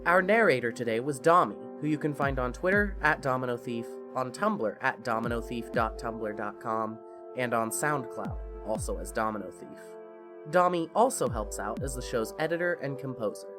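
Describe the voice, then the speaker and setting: An expressive narrator reads scripted fiction for a podcast in a measured storytelling manner. Faint music plays behind the voice. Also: mid-range voice.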